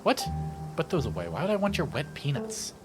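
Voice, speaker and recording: A man's voice: fairly high pitch, huge pitch swings, fairly quick, over music, pitched above the performer's own voice. Man voicing a curt, icy young woman. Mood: annoyed, curt. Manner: curt, dismissive. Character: worn, annoyed, icy young woman cousin.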